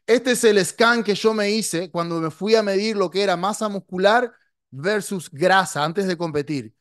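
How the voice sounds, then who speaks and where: A man's voice: high voice, moderate pace, no echo. Blunt, emphatic man, dry close mic. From Latin America. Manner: blunt, emphatic, gestures a lot, drops English words. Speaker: online marketing coach. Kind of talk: motivational talk to camera. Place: small dark studio, indoors. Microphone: podcast mic on boom arm.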